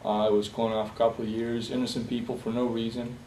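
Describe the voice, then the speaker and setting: A young man from Bosnia in a personal interview, speaking haltingly with many fillers and restarts. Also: mid-range voice, level tone, darkish tone. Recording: roomy echo, distant from the mic, faint hiss.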